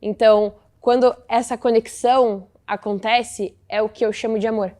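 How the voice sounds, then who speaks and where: A nineteen-year-old woman, answering interview questions about a photo book she co-created in a thoughtful, measured way. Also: fairly high pitch, fairly even intonation, mellow.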